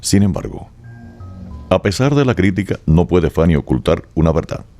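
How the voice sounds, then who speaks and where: A man delivers solemn, literary narration. His voice is extremely deep and dark, with very lively intonation, over soft music. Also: normal speed. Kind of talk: scripted dramatic narration.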